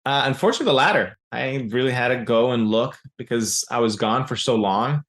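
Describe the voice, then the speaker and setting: A man in his forties whose first language is Serbian, answering interview questions in an explanatory way, often pausing on "uh" and restarting phrases. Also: mid-range voice, fairly bright tone. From raised in Yugoslavia. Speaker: business founder as guest.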